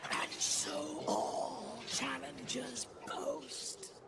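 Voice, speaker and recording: Slow, imperious, rasping ghostly announcer. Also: male voice, very high-pitched, steady tone, very noisy, exaggerated voice. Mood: ominous, haughty. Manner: declamatory, ceremonial. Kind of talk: video game cutscene acting. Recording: loud music behind.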